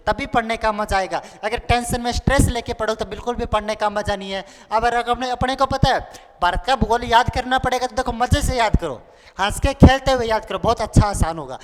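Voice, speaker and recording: A man of twenty-one teaching an exam-prep lesson in a playful, colloquial way, repeating key points as a drill. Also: very high voice. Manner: repetitive, playful, colloquial, acts out pupils' questions. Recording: noisy, echoing hall-like room with clicks.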